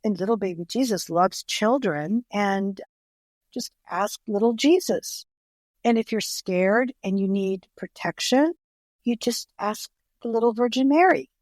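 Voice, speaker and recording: A woman's voice: mid-range voice, unhurried, a little mellow, dry recording. Greek-born woman, dry close sound. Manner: earnest, restarts and repeats words often. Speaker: author and podcast guest. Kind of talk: conversational interview storytelling.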